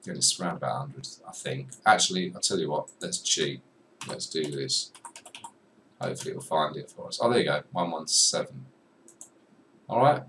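A young man talks through a screen-recorded software lesson, chatty and joking at himself as he clicks around. His voice sits low. He's a little distant from the desk mic, with faint background noise in the small room. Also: moderate pace, long pauses. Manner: chatty, self-deprecating, talks to viewer while clicking. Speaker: music software tutor.

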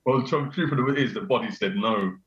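A middle-aged man with a deep bass voice, chatting casually as a guest on a video call, repeating himself and laughing often. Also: a little fast, a bit bright. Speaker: venue owner, guest. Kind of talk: casual chat. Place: small room indoors. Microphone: video call webcam. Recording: roomy, dull video-call audio.

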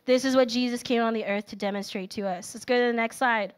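Animated woman preaching on a church stage. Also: a little high, fast, smooth. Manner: animated and personal, filler-heavy storytelling. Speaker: speaker at a young adults' service. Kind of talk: conversational sermon. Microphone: handheld microphone.

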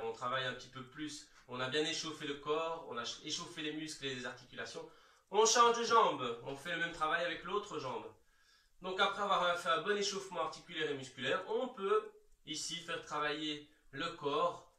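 A man in his thirties giving calm, reassuring step-by-step instructions as an exercise coach, recorded in a large bare room from several metres away. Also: on the high side. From Belgium. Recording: echoey room, distant microphone.

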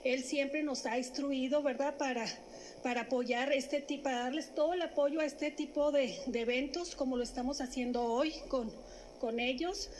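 High-voiced woman at a press conference. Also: a little mellow, slight background noise, low-quality recording. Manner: halting, many fillers and restarts. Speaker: municipal government official. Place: indoor press room. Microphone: stand microphone.

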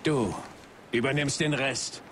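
A man acts as an accusing military commander in a video game. His medium voice is measured and full of authority, with battlefield ambience behind. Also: medium pitch, lightly acted. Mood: stern, accusing. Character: stern male military commander, accusing interrogator. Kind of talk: video game voice acting, German dub. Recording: noisy outdoor battlefield ambience.

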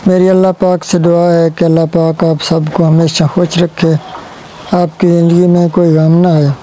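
A man's voice: a little high, dark tone, a little hiss or hum, rough recording. A young man from Pakistan with a Pakistani Urdu accent, speaking earnestly to camera on a lapel mic outdoors in a village courtyard. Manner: slow, earnest, pleading. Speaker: village vlogger. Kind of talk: direct-to-camera appeal.

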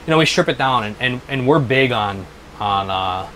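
A man in his thirties giving a casual workshop explanation as a business owner, haltingly and repeating his phrases. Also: medium pitch, expressive, a bit bright, slight background noise, low-quality recording. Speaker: helmet restoration business owner. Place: small workshop, indoors.

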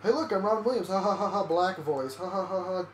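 Young dude with a high voice ranting while gaming, echoey room. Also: male voice, fast talker. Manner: self-mocking, ranting. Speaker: let's play co-host. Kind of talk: casual gaming chat. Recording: echoey room, faint hiss.

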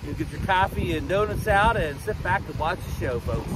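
A man's voice: high voice, animated, normal speed. An older man chatting casually as a vlog guest, outdoors by the water. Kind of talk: casual on-camera chat. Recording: very noisy windy outdoor recording.

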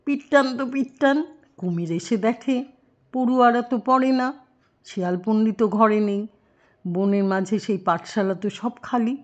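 A woman's voice: fairly high pitch, a little slow, dull audio. An older woman telling a story to young children in a grandmotherly way, explaining and asking questions as she goes.

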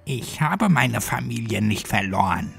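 A man's voice: medium pitch, lively intonation, unhurried, creaky, slightly rough, faint music behind, exaggerated voice. A man voicing a vengeful little girl in a horror story, feigning sweet innocence over cold menace. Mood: dark, menacing. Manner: feigned innocence, dark threatening undertone.

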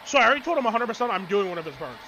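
A man in his late twenties streaming a game and chatting casually, excitable and swearing freely while talking over his friend. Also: high-pitched, racing, a little hiss or hum. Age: 20s. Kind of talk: casual chat while gaming. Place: small bedroom with colorful wall.

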